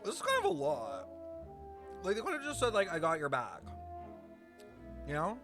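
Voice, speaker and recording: A young man giving casual, gossipy, sweary reaction commentary as a game streamer, very expressive, on a close mic. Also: high voice, normal speed, vocal fry, music in the background.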